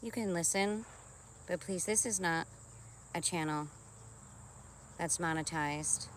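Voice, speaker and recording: A woman with a low voice talks informally to her live-stream viewers. She is halting and repetitive, pressing her points. Faint noise of insects and animal ambience sits behind her.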